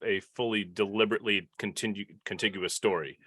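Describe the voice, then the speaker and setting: A man with a fairly low voice hosts a casual podcast panel. He feels his way through sentences, often restarting them. The sound is dry and close. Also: fairly even intonation, a little fast, pushed and bright, no room sound. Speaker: podcast host leading a panel.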